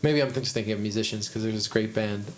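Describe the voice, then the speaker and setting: A man's voice: lowish voice, steady tone, fast talker, a little hiss or hum. A man with a Midwestern American accent, hosting a podcast and chatting casually, rambling and restarting his sentences as he thinks aloud. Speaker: podcast host, musician. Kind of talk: casual chat.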